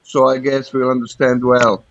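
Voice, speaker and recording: A man's voice: mid-range voice, level tone, moderate pace, no echo. A man with a Croatian accent, a caller talking casually and hesitantly, groping for words. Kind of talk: casual, personal conversation. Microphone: internet voice call. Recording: dull lo-fi internet call.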